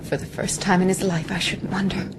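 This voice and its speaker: A woman's voice: fairly low pitch, flat delivery, a little fast, lightly acted. An actress in a radio drama playing a genteel, spirited woman, articulate and composed under strain. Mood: anxious. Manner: articulate, composed but anxious. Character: spirited, genteel young woman aboard a sealing ship. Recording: audio drama with clear ambient noise.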